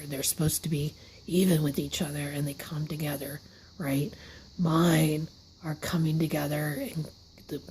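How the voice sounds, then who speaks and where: Hoarse, breathy woman with a low voice. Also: a little mellow, a little hiss or hum, low-quality recording. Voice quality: airy, breathy voice. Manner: halting, runs out of breath, words trail off at sentence ends; slips into unvoiced whispers mid-sentence. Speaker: vlogger. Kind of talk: casual story-time monologue. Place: seated at a table indoors.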